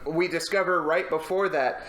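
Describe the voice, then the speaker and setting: Man, forceful bright voice, casual emphatic film banter, a bit profane, recorded in a reflective room. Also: a little high, steady tone, moderate pace. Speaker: podcast co-host discussing films. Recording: roomy, echoey room.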